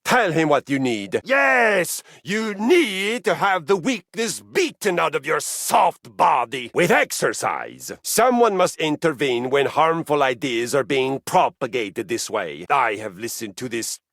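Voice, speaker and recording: An actor playing a boastful, brutal Viking-like fitness object in a video game, grandiose and formal, with a gravelly, bellowing voice. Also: male voice, a little high, big pitch swings, measured pace, hardly any pauses, a bit bright, no room sound, heavily acted. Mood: bellowing warlike. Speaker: dating game character. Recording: dry studio recording.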